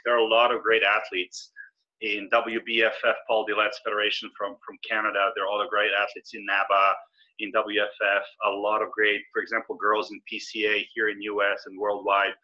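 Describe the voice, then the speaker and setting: A man's voice: fairly low pitch, steady tone, fairly quick, pushed and bright. A man in his forties, originally from Serbia, answering interview questions in an easygoing, explanatory way over a video call. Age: 40s. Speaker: guest. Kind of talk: casual interview. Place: indoor room with brick wall. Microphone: video call webcam. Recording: slightly lo-fi video call audio.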